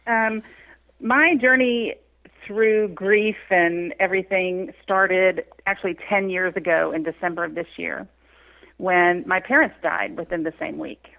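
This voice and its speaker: A woman's voice: lowish voice, fairly even intonation, a little slow, vocal fry. Texan woman guest on a dull remote line. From Texas. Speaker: podcast guest. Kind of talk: interview conversation.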